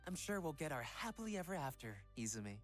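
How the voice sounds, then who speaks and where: A man voicing a gentle, earnest young pop idol in a visual novel game, soft-spoken and a little hesitant. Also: fairly high pitch, sing-song, fast, soft background music. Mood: tender, hesitant. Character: gentle young male Korean pop idol, devoted fiancé.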